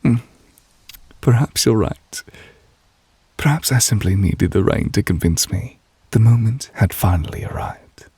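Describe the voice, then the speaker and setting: A man playing a devoted, possessive yandere witch in an intimate ASMR roleplay, speaking softly and breathily, often near a whisper. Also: lowish voice, animated, vocal fry, slightly rough, no room sound, full character voice. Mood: tender. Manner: slow, tender, murmured endearments.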